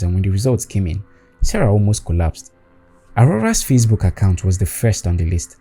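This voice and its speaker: A man's voice: on the low side, warm tone, husky. A man narrating a scripted spooky story in a dramatic storytelling manner. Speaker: storyteller, host.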